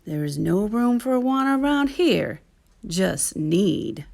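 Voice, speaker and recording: Woman doing kind practical grandma from a kids book, super creaky, takes her time. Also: mid-range voice, very animated, slow pace, darkish tone, exaggerated voice. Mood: firm. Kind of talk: children's picture book read-aloud.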